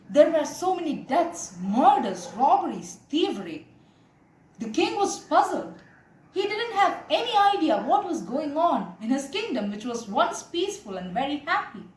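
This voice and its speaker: A young woman with an Indian accent narrates a moral tale, earnestly explaining and addressing her listeners directly. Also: high voice. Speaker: storyteller. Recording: echoey room with faint background noise.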